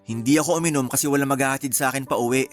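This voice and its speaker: A woman playing a cool, protective young man in a Filipino radio romance drama, curt and deadpan but caring. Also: a bass voice, very fast. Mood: firmly protective. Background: music.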